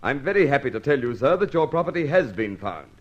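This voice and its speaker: An actor playing a dryly courteous British police inspector, formal and deferential, in an old radio detective drama. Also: male voice, medium pitch, sing-song, fast talker, muffled recording. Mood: dry, probing.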